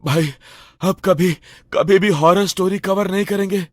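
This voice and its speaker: A man's voice: high-pitched, fairly even intonation, unhurried, no room sound, clean studio sound. A man playing a frightened, loyal young friend, nervous and pleading, in a horror story. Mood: shaken. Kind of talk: Hindi animated horror story acting.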